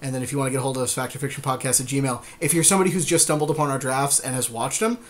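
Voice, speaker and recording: A man's voice: mid-range voice, racing. A man co-hosting a podcast, chatting casually with an agreeable, dryly joking manner during a card game draft. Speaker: podcast co-host.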